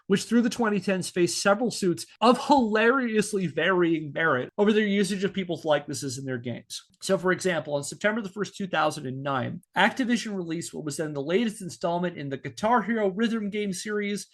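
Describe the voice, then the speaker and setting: Guy with a pretty high voice hosting a podcast, reading his script w/ wry little asides. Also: lively intonation, a little fast, few pauses. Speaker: podcast host. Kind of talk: scripted narration with casual asides.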